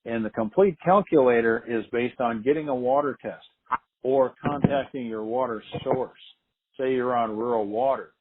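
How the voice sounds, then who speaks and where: A man's voice: medium pitch, moderate pace, noisy background. A man presenting farm products on a conference call, explaining methodically and repeating key points. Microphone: telephone. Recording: tinny, narrow phone-line conference call.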